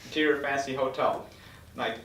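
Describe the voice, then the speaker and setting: A man's voice: mid-range voice, fairly even intonation, moderate pace, fairly bright tone. Roomy, distant man reading stage directions. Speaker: stage directions reader at a table read. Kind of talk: scripted narration read aloud. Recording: roomy echo, distant microphone.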